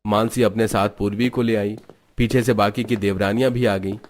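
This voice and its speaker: A man narrating a cartoon family story in a plain, even storytelling voice. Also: fairly low pitch, moderate pace.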